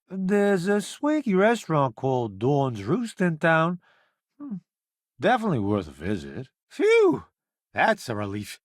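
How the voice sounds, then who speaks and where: A man's voice: high voice, dry recording, studio quality, full character voice. A man voicing a vain, wisecracking, cowardly food-columnist sidekick in a space video game, with a breezy, theatrical, boastful delivery. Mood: casual, wry. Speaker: companion character in a space game. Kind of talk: animated video game voice acting.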